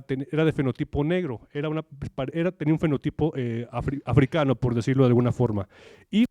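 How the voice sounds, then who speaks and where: A man's voice: mid-range voice, dark tone, no room sound, studio quality. A Mexican man giving an academic conference talk in an informal, explanatory way, repeating phrases for emphasis. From Veracruz, Mexico. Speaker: academic lecturer.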